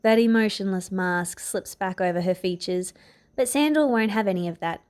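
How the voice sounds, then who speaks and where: A woman's voice: medium pitch, normal speed, darkish tone, smooth. A woman narrator reading a scripted piece of fiction aloud. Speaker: podfic narrator.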